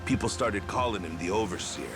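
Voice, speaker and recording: A man's voice: fairly low pitch, a little fast, over music, close to an everyday voice. A man voicing a knowing, teasing treasure hunter who tells stories informally in a video game dub. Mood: storytelling. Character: knowing male treasure hunter sharing rumors, smooth-talking.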